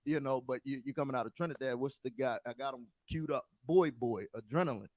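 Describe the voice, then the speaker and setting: A man's voice: medium pitch, a little fast, darkish tone, no echo, muffled recording. An American man who hosts and DJs, telling stories in a casual interview with stumbling restarts. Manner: storytelling, stumbling restarts, laughs often. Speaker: DJ and podcast host.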